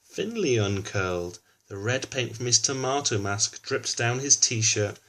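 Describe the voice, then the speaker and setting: A man's voice: medium pitch, expressive, a little slow, fairly bright tone. A man reads a children's storybook aloud expressively, adding informal explanatory asides for a young listener. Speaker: children's book reader.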